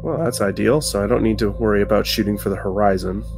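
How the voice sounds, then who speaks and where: A man's voice: lowish voice, fairly even intonation, fast talker, gravelly, a little mellow. A man chatting casually as a player in a tabletop game, joking and swearing. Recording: background music under the voice.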